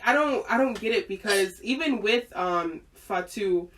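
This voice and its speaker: A woman's voice: mid-range voice, expressive, unhurried, a bit bright, a little hiss or hum. Giggly woman host, distant mic. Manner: giggly, many fillers and restarts. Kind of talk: casual chat.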